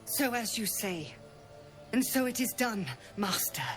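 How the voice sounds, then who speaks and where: A woman's voice: on the high side, a little slow, soft background music, natural voice. A woman playing a humbled, deferential druid novice in a fantasy video game, speaking formally and contritely.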